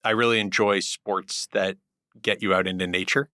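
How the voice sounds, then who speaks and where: A middle-aged man answering podcast interview questions in a thoughtful, deliberate way, with pauses. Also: low voice, fairly even intonation, pushed and bright, dry recording, studio quality. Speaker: guest, hedge fund group head.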